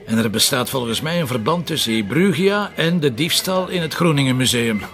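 A man's voice: mid-range voice, expressive, brisk, fairly bright tone, faint music behind. A man playing a stubborn, wry, distrustful police commissioner with a Flemish accent in a radio drama, speaking in a sardonic, contrary way. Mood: insistent. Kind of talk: naturalistic radio drama dialogue.

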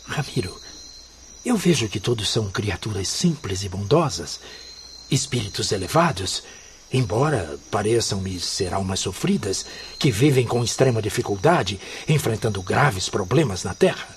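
An actor playing a compassionate, grateful spirit in a radio drama, speaking with earnest, formal reverence. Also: male voice, on the low side, lively intonation, normal speed. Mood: grateful, moved. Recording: faint noise with crickets behind.

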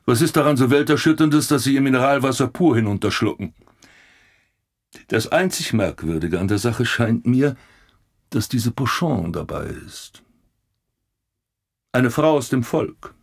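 An actor playing a blunt, grumbling police commissioner with a sardonic, gossipy manner in a German radio play. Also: male voice, mid-range voice, animated, measured pace, gravelly, long pauses, husky, exaggerated voice. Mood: puzzled, brooding. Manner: sardonic, colourful.

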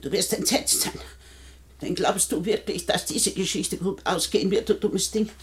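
An older woman playing a sharp-tongued, defiant, rich old widow in a 1960s German radio play, speaking with deliberate scorn. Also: mid-range voice, close to an everyday voice. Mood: scornful, defiant.

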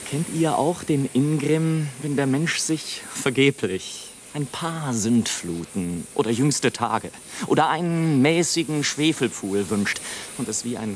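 A man's voice: mid-range voice, slight background noise, close to an everyday voice. An actor playing a bitter, world-weary male balloonist in a German radio play, declaiming in an eloquent, theatrical and ironic way. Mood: indignant.

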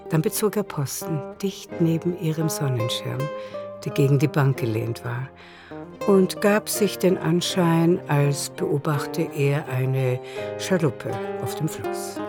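A woman narrating a literary radio play in the third person, with measured composure. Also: sits low, animated, music in the background, heavily acted. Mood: composed.

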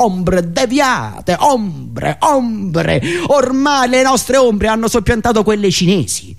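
A man delivering a satirical political monologue in an indignant, sarcastic, declamatory manner with dramatic pauses and repetitions. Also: high voice. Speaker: satirical monologist and radio show host.

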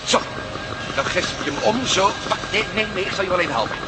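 An actor playing a practical, urgent hero in a radio play. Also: male voice, high-pitched, lively intonation, fairly quick. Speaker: Matt Meldon, hero of the series. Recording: noisy old radio play recording.

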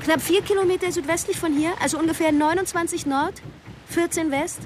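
An actress playing a brisk, teasing, self-assured woman in naturalistic radio drama dialogue. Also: extremely high pitch, background noise. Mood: cool, teasing.

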